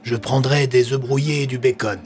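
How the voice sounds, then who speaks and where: A man playing a serious, easily irritated police commissioner in an audio drama, with a gravelly voice. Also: medium pitch, fairly even intonation, moderate pace, a little mellow, lightly acted. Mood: stern, concerned.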